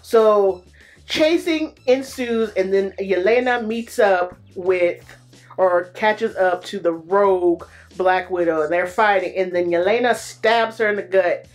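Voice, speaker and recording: A woman recapping a movie in a casual, animated way, full of slang and playful exclamations. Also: medium pitch, measured pace. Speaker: movie reviewer.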